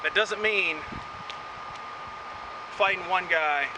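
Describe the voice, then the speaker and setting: A man's voice: high voice, slow. A man teaching karate outdoors in a chatty way, tagging "right?" onto his points, recorded from a distance with wind and traffic around. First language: English. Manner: chatty, keeps adding "right?". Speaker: karate instructor. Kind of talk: outdoor martial arts lesson. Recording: windy outdoor audio, distant mic. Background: wind and passing vehicles.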